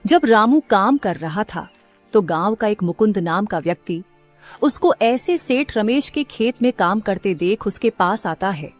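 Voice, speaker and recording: A woman's voice: medium pitch, expressive, unhurried, soft background music. A woman reading scripted narration for a story as its narrator.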